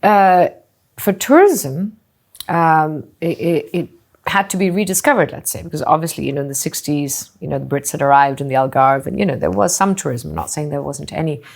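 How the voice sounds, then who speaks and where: A middle-aged woman from Singapore, a company founder answering interview questions thoughtfully, often pausing with "you know" and restarting phrases. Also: sits low, vocal fry, a little mellow. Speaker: hotel group co-founder and CEO.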